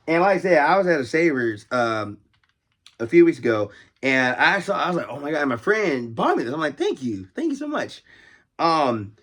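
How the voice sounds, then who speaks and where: A man's voice: a little high, sing-song, moderate pace, fairly bright tone. A man hosting a casual collector's video, talking off the cuff in a rambling, enthusiastic way and exclaiming over details. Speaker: host of a toy collecting video series. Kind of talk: casual off-the-cuff toy show-and-tell.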